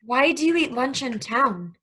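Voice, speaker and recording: A woman's voice: sits high, animated, unhurried, bright, forceful tone, husky, exaggerated voice. A woman playing a scheming, eager young plotter in a table reading of a stage play. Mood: hesitant, scheming.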